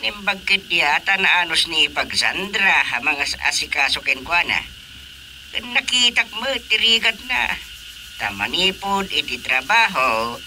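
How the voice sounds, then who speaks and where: An older village husband with an Ilocano accent and a very bright, forceful voice. He sits fairly high for a man and sounds concerned, in radio drama style, over faint background noise. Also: sing-song, a little slow, full character voice, poor audio.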